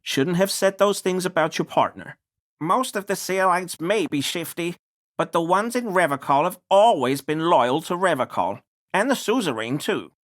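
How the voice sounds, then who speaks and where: A man's voice: sits high, lively intonation, normal speed, a bit bright. A male actor playing a nervous, apologetic townsman in a video game, pleading and hesitant as he answers to the police. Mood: meek, evasive. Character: nervous, grovelling small-time bigoted townsman under police questioning. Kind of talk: scripted video game character dialogue.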